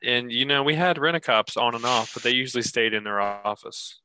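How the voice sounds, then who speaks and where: A man's voice: medium pitch, a little fast, pushed and bright, a little hiss or hum, rough recording. A man in his twenties co-hosting a podcast in casual chat, rambling and self-mocking with lots of restarts. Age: 20s. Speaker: podcast co-host.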